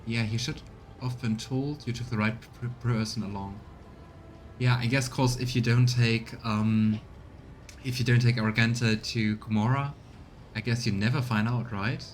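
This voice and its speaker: A man's voice: mid-range voice, fairly even intonation, measured pace, a little hiss or hum. A man casually commentating on a video game, musing aloud and chatting with his viewers as he plays. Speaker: streamer doing a voiced let's play.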